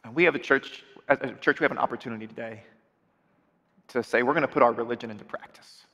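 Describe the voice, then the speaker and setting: A man's voice: mid-range voice, fast, bright, forceful tone, muffled recording. A man in his forties preaching an earnest sermon appeal from a church stage, often restarting and repeating words. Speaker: pastor. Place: dark church stage with screens. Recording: long reverb of a large hall.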